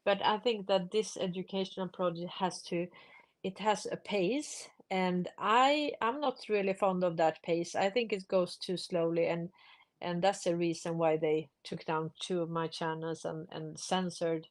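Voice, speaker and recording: A woman with a Swedish accent, a native Swedish speaker, hosting a casual unscripted discussion and explaining things with halting restarts. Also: on the low side, steady tone. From Sweden. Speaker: live stream host.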